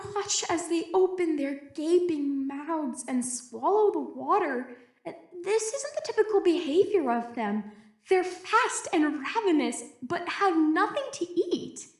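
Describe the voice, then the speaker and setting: Scared lone female researcher, played by a woman, high voice, slow halting delivery with stammers, audio drama log in an echoey room. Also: lively intonation. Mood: agitated, alarmed. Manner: hesitant, stammering, anxious. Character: frightened, isolated young woman researcher keeping a log. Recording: echoey roomy recording.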